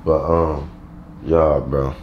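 Young male vlogger, just woke up, low groggy voice, loose slangy rambling, faint room hiss. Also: little pitch movement, extremely slow, highs cut off. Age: young adult. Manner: slangy, rambling, lots of fillers. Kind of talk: casual vlog talking to camera. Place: bedroom at home, indoors.

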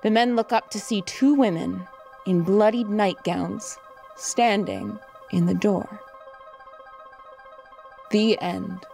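A woman's voice: medium pitch, lively intonation, takes it slowly, vocal fry, pauses often, mellow, faint music behind. A woman host giving scripted dramatic narration of a story.

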